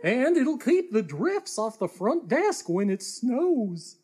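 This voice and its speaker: An actor playing a blustery, stubborn, excitable old man with folksy talk in old-time radio comedy. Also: mid-range voice, slow, husky, full character voice. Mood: breezy, boastful. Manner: folksy, swooping, argumentative.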